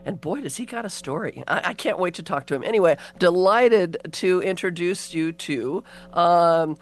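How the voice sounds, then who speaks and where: A woman hosting a casual radio chat show, talking in a chatty, rambling way with many ums, her voice worn down by allergies. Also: lowish voice. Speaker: radio host.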